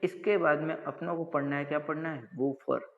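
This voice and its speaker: गूँजती, दबी रिकॉर्डिंग में राजस्थानी लहजे वाला पुरुष शिक्षक. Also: male voice, fairly high pitch, fairly even intonation, moderate pace, a bit bright. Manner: didactic, asks and answers own questions, repeats check phrases. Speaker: college lecturer. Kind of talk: explanatory technical lecture. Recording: dull lo-fi audio with long reverb.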